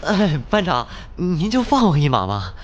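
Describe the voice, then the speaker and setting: An actor voicing a cheeky young soldier in an animated drama, with a playful, wheedling manner. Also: male voice, a little high, normal speed, highs cut off. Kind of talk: Chinese animation dubbing.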